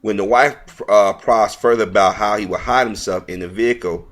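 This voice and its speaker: A man with a fairly low voice delivers online news commentary. He reads aloud with some stumbles over the words, and his manner is earnest and opinionated. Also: fairly even intonation, moderate pace, a bit bright.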